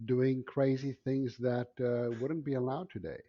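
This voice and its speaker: South African man, forties, husky voice, casual storyteller, halting phrasing, dull remote recording. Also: mid-range voice, little pitch movement, dark tone. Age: 40s. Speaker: podcast guest, entrepreneur. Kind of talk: casual personal storytelling in an interview.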